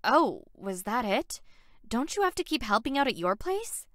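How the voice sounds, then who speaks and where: A woman's voice: on the high side, very animated, normal speed, fairly bright tone, no room sound, clean studio sound. A woman voicing a stubborn, driven young female mechanic in a sci-fi visual novel. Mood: curious.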